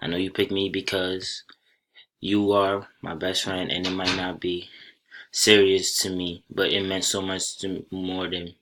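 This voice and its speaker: A young man from Senegal whose first language is Soninke, giving a college class presentation over slides in a plain, unhurried way with small restarts. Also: low voice, little pitch movement, pushed and bright, slight background noise. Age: young adult. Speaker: college student.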